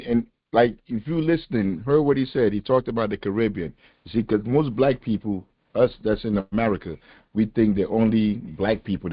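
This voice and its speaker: A man hosting a podcast, talking casually and with animated emphasis. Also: on the low side. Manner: animated, conversational, rising to exasperated emphasis. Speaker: podcast host. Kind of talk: casual discussion on a call-in podcast. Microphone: phone or conference call. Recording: dull lo-fi call audio.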